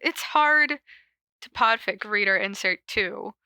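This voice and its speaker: Bright-voiced woman, giggly podcast host. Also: mid-range voice, animated, slow, smooth, dry recording. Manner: giggly, playful. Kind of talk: casual podcast chat with reading aloud of notes.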